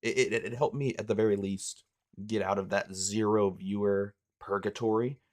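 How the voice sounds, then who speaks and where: A young man with a raspy voice from being sick, chatting casually as a streamer, rambling and poking fun at himself. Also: fairly low pitch, steady tone, moderate pace, darkish tone. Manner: rambling, self-mocking, restarts phrases. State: hoarse from illness.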